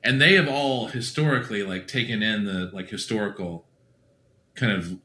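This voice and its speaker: A man's voice: lowish voice, moderate pace, bright, forceful tone. A man hosting a reaction show, commenting casually and thinking aloud as he watches.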